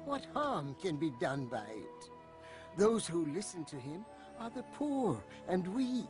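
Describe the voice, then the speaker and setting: An actor playing a calm, conciliatory Meccan clan elder in a cartoon, measured and unalarmed. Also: male voice, sits high, exaggerated voice. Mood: placating. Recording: background music under the voice.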